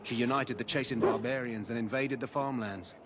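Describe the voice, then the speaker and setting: A man's voice: mid-range voice, steady tone, fairly quick, very noisy, close to an everyday voice. A man voicing a blunt, chatty army guard in a fantasy video game. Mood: eager, gossiping. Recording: game music behind.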